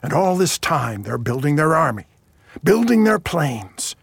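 A man's voice: on the high side, big pitch swings, unhurried, gravelly, slightly rough, dry recording, heavily acted. An actor playing an earnest, urgent seer who warns his ruler in a fantasy audio drama, speaking insistently and dramatically. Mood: urgent, pleading.

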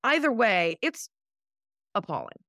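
An emphatic, indignant woman argues her point in a casual political chat and swears for emphasis. Her pitch swings widely as she talks. The recording is close and dry. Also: very animated, a little slow, fairly bright tone, dry recording. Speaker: guest commentator.

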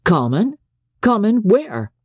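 A woman voicing an edgy, perverse young gentleman husband with dry retorts, in a dramatic audiobook reading. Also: mid-range voice, very animated, takes it slowly, breathy edge, dry recording, pitched above the performer's own voice, heavily acted.

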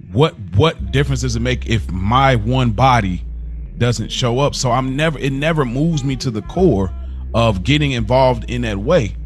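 A man with a mid-pitched voice in a casual podcast conversation. He sounds reflective and hesitant, questioning himself and restarting as he thinks aloud. Music plays clearly behind him. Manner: hesitant, searching, self-questioning. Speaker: podcast co-host.

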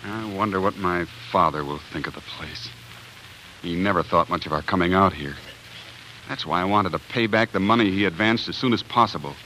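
Steady, respectful young farmer for vintage radio drama; lowish, crisp-edged, forthright delivery. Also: male voice, a little fast, rough recording. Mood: worried. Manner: earnest, forthright. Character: young homesteading husband, earnest, steady, respectful son. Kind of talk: 1940s radio acting. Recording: old 1940s radio recording, faint noise.

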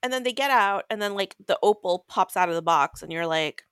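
A woman's voice: mid-range voice, animated, fairly quick, fairly bright tone, clear, resonant tone, no echo, clean studio sound. A woman co-hosting a podcast, giving a casual book recap in a blunt, exasperated way and swearing freely. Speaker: podcast co-host.

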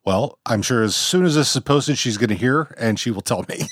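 A man's voice: medium pitch, expressive, moderate pace, noisy background. Laid-back man with a stuffy nose. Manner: laid-back, laughs often, crude jokes. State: slightly congested. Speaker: podcast co-host. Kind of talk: casual banter.

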